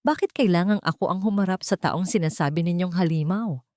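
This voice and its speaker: A woman's voice: fairly low pitch, a little fast, mellow, no room sound, studio quality, natural voice. A woman with a Filipino accent voicing a hurt, timid young niece who pleads in an audiobook drama. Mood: distressed pleading.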